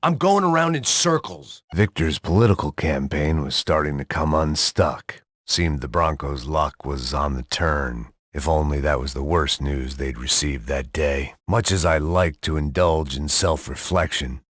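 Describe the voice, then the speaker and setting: An actor playing a middle-aged, hard-drinking ex-cop in a noir video game, with a deadpan, sardonic, self-loathing manner. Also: male voice, very deep voice, a little slow, hardly any pauses, dry recording, studio quality, exaggerated voice. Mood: frantic, defeated. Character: world-weary, hard-drinking male ex-cop turned failing bodyguard.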